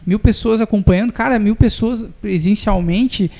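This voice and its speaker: A man's voice: sits high, normal speed. A young man, chatting casually and slangily as a podcast co-host. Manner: relaxed, slangy, uses tu and tá ligado. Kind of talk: casual chat.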